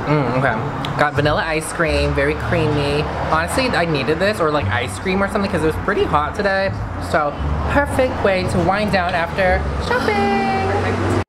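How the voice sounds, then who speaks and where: A woman's voice: lowish voice, animated, moderate pace, very noisy. A woman in her twenties vlogging in a bubbly, slangy, playful way, filmed on a handheld camera at arm's length. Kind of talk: casual vlog chat. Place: outdoors, in a car and in shops.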